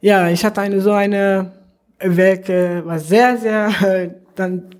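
A young man from Afghanistan with an Afghan accent, answering interview questions in slow, halting learner's German. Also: high-pitched, steady tone. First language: not German. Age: young adult.

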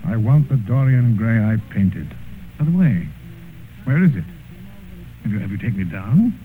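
A man plays a loyal, moralizing painter in a vintage radio play, earnest and emotional. His lowish voice moves widely in pitch, heard through a thin broadcast sound with slight hiss. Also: lively intonation, moderate pace, natural voice. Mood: pleading. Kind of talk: 1940s-50s radio drama acting. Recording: muffled vintage radio recording, faint hiss.

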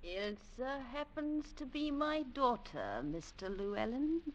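A woman's voice: on the high side, sing-song, a little hiss or hum. An actress playing a mature mother in a 1940s radio drama, gracious and insinuating with veiled menace. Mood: silky menace. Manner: deliberate, silky, insinuating. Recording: dull vintage radio recording.

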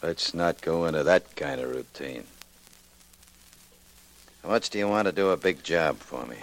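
A man playing a smooth, cocky racketeer, cool and clipped, in 1940s radio drama style. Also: extremely low pitch, expressive, moderate pace, low-quality recording. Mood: cool, businesslike. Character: smooth, cocky male racketeer with many enemies.

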